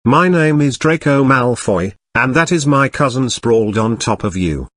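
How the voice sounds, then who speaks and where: A text-to-speech man's voice playing a polite, snobbish young upper-class English gentleman in a quiet, formal, measured way. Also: mid-range voice, animated, no room sound, clean studio sound, pitched above the performer's own voice. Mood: calm, formal. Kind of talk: text-to-speech story reading.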